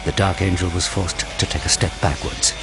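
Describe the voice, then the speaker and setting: A man's voice: low-pitched, steady tone, normal speed, gravelly, noisy background. A man giving dramatic scripted narration for an audio drama.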